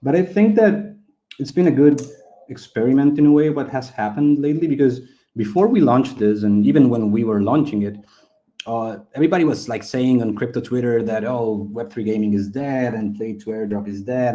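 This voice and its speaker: A man's voice: mid-range voice, moderate pace, mellow, rough recording. A man from Chile, a Spanish speaker, talking casually as an interview guest and often repeating words as he explains. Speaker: game studio founder and interview guest.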